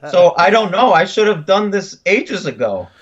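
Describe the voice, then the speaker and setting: A man's voice: high voice, very animated, moderate pace. A Canadian man with a faint French-Canadian edge to his speech, co-hosting a casual chat. He tells stories in a dry, joking way, and his audio sounds a little dull and muffled. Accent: Canadian, slight French-Canadian tinge. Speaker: guest co-host.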